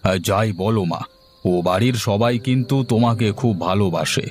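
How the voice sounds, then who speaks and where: A man's voice: lowish voice, brisk, slight background noise, natural voice. A man voicing a touchy, idle grown son, a young adult, in a cartoon family scene. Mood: pleased. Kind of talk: cartoon dialogue acting.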